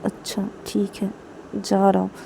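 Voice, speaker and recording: A woman's voice: medium pitch, monotone, unhurried, creaky, background noise. Woman doing a sheepish young husband, coaxing. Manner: coaxing, defensive.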